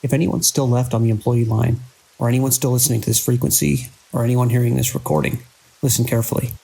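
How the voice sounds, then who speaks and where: Gravelly, husky hospital director played by a man. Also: mid-range voice, flat delivery, warm tone, low-quality recording. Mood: resolute, urgent. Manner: earnest, urgent, halting between phrases. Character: beleaguered, idealistic male hospital director, defiant in crisis. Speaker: voice actor in an audio drama. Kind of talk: dramatic horror audio drama monologue and dialogue.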